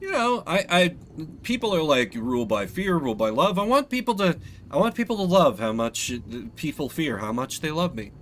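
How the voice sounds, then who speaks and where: Male game streamer: casual, joking, sweary, forceful tone with very lively intonation. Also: a little high, moderate pace, a little hiss or hum. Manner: playful, sweary.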